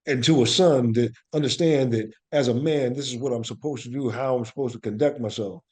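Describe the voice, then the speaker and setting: A man's voice: mid-range voice, a little fast, a little mellow. A man answering as an interview guest, speaking in a reflective, conversational way and explaining earnestly with emphatic repetition. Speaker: interview guest, actor and playwright.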